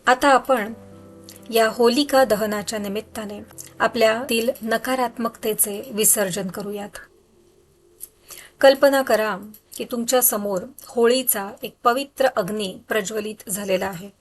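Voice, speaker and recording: A woman leading a guided meditation in a soothing, instructional way, leaving pauses between phrases. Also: a little high. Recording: background music under voice. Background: music.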